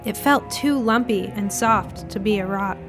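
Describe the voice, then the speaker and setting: A woman's voice: medium pitch, unhurried, music in the background. A woman reading a scripted first-person spooky short story as its narrator.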